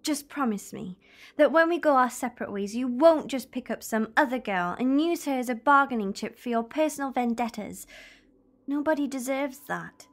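A woman playing a tough, stubborn young time traveller's companion in an audio drama, blunt and quick to answer back. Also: high-pitched, expressive. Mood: hurt, grave.

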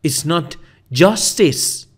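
A man with a high, very animated voice teaches everyday English phrases. He speaks slowly and drills each expression, repeating it for listeners to copy. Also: slightly rough. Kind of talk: slow spoken English lesson with repeated phrases. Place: voice-over for slide video.

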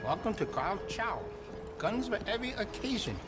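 An actor playing a courteous Wild West gun shop keeper delivering sales patter in a video game. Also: male voice, high-pitched, very animated, normal speed, loud music behind the voice. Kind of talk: video game shopkeeper lines.

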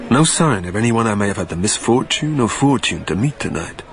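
A man's voice: lowish voice, animated, brisk, close to an everyday voice. A man playing a wistful, haunted dead man, narrating a radio drama in a literary, brooding way. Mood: wistful observing. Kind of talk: dramatic first-person scripted narration.

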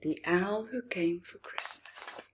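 Very slow, expressive woman storyteller. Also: fairly low pitch, breathy edge, in a whisper, rough recording. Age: middle-aged. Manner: expressive, dramatic pauses and emphasis, chatty asides to listeners. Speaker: children's story reader. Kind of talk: read-aloud storytelling for young children. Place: living room, indoors.

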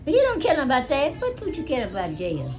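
An elderly American woman telling stories casually to family, with a salty, animated manner, recorded on a phone at home. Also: high voice, fairly quick, faint music behind. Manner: animated, salty, acts out dialogue with swearing. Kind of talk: casual storytelling among family. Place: small living room at home. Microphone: phone held near her.

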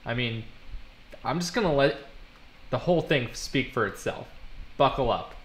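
A man's voice: medium pitch, animated, normal speed, slight background noise. A young man narrating a casual vlog in a wry, self-deprecating way. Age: young adult. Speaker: vlogger, game developer.

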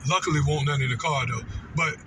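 High-pitched man telling stories. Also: fast talker. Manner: animated, repetitive, slangy, conversational asides. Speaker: storytelling vlogger. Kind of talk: casual personal storytelling. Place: inside a moving car. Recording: vehicle road noise.

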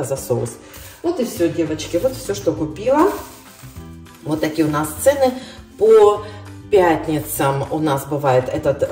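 A woman's voice: sits low, recorded from a distance. A middle-aged woman vlogger from the Russian-speaking world living in Ireland, chatting casually about her grocery haul and calling her viewers "girls". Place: home kitchen. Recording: roomy, from off-mic, soft music.

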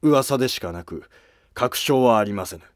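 A man's voice: mid-range voice, lively intonation, normal speed, dry recording, studio quality, lightly acted. A man playing an earnest Heian nobleman using humble, formal court speech. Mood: urgent, respectful.

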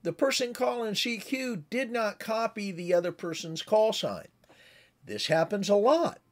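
A man's voice: high-pitched, expressive, unhurried. A man in his sixties giving a conversational, instructive amateur radio tutorial as the presenter. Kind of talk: explanatory tutorial with demonstrated radio calls.

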